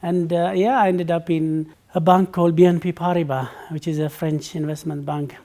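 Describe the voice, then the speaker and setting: A man's voice: fairly high pitch, little pitch movement, normal speed, dark tone. A middle-aged man from Ethiopia, a guest in a conversational interview, who answers thoughtfully with frequent fillers and restarts.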